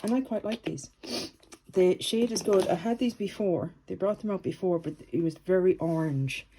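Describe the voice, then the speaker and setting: A woman's voice: on the low side, normal speed. A woman with a stuffy, snuffly voice from a cold, chatting casually through a product review with many ums. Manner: relaxed and chatty, with frequent ums. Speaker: beauty vlogger. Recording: noisy home recording.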